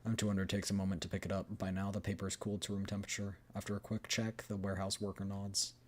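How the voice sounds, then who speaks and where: A man's voice: deep voice, level tone, fast. A man streaming a game, reading the story aloud and chatting casually as he thinks aloud. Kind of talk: game readthrough with casual commentary.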